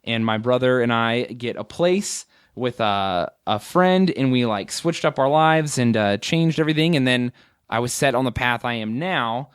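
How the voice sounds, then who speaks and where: A man's voice: medium pitch, expressive, normal speed, clear, resonant tone, dry recording, studio quality. A man in his late twenties, a guest comedian telling his story in a candid, rambling, self-mocking way during a casual interview. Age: 20s.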